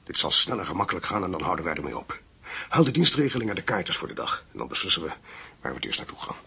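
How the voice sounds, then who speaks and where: A hard male contract killer in a radio drama. The voice is low and rasping, with a creaky grain and animated swings in pitch. He is terse, impatient and businesslike. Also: fast talker, background noise, exaggerated voice. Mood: impatient, decisive.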